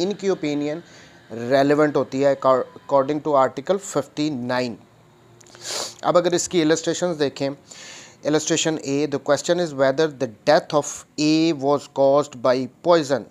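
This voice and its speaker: A man's voice: medium pitch, darkish tone. A man with a Pakistani accent lecturing on statute, reading out and then explaining in a repetitive, bilingual way. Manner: explanatory, repeats and rephrases points, switches between Urdu and English. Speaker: law lecturer, advocate.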